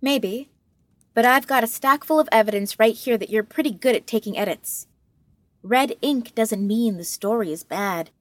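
Woman acting patient, frank friend in audio drama; earnest, gently firm, dry close sound. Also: a little high, normal speed, no room sound, close to an everyday voice.

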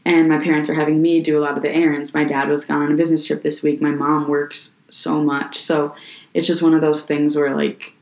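A young woman co-hosting a podcast in casual chat, slightly hoarse from a sore throat, chatty and full of "like". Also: sits low, level tone, fairly quick, a little mellow. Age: young adult. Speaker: podcast co-host. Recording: echoey room.

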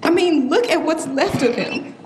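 A woman's voice: sits high, expressive, moderate pace. An actress playing a tough young woman shaken by grief, pleading and blunt in an audio drama. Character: grieving, tough young woman, shaken by her boyfriend's death. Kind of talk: full-cast audio drama acting. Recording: roomy echo, faint background noise.

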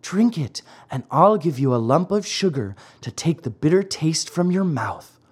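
A narrator voicing a gentle, motherly fairy in a children's storybook, loving, patient and coaxing but firm. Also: medium pitch, animated, a little slow, slightly rough, pitched above the performer's own voice.

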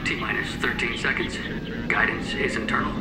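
An actor playing a measured male launch control announcer in an audio drama, speaking formally. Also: loud music behind the voice, close to an everyday voice. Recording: echoey announcement, very loud engine noise.

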